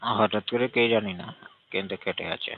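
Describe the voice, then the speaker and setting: A man with a gravelly voice chatting casually and intimately, in a complaining, coaxing way, over a tinny phone line. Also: on the low side, fairly even intonation, normal speed.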